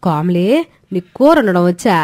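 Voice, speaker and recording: A woman voicing a sharp-tongued, domineering older mother-in-law in a storybook tale. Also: lowish voice, animated, moderate pace, lightly acted. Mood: bossy. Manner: scolding, bossy. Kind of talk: Telugu storybook voice acting.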